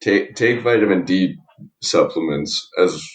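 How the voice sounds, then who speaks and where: Podcast guest: young Canadian male, low-pitched, informal and hedging, recorded off-mic in a reverberant room. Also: measured pace. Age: young adult. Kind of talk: casual interview chat. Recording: roomy echo, distant from mic.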